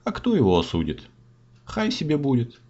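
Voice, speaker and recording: A man's voice: medium pitch, wildly swooping pitch, moderate pace. A man narrating a comic fairy tale in folksy, theatrical rhyme. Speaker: storyteller, narrator. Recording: slightly dull audio, music behind.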